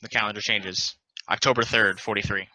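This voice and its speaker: A man narrating stage directions at a play table read in a plain, deliberate, announcing manner. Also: on the low side, fairly even intonation, pushed and bright, rough recording.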